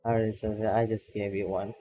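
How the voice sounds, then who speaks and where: A man's voice: on the low side, little pitch movement, normal speed, lo-fi sound, music in the background. A man streaming a game as a VTuber, chatting casually with a friend, laughing often and reacting to game results. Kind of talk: casual gaming stream chat.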